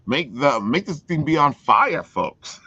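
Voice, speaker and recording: Male podcast host, enthusiastic casual promo chat, very lively intonation, slightly muffled lo-fi sound. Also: fairly high pitch, unhurried, husky, dry recording. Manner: enthusiastic, plugging.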